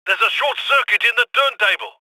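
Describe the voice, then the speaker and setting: An actor playing a scrappy male heist handler in a video game, giving brisk, urgent instructions over radio comms. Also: very high voice, sharp tone, no room sound, exaggerated voice, low-quality recording.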